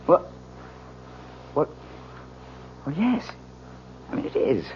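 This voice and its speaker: Brash, animated young husband. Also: male voice, fairly high pitch, takes it slowly, heavily acted. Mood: baffled, defensive. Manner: emphatic, exclamatory delivery. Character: bewildered new husband, brash hard-drinking businessman. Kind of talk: 1970s radio drama acting. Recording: muffled, hissy vintage radio recording.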